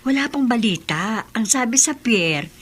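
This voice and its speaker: Lilting, calm housekeeper. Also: female voice, a little high, a little slow, husky. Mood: calm, reassuring. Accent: Filipino. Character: calm, attentive female housekeeper. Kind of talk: Filipino radio drama acting.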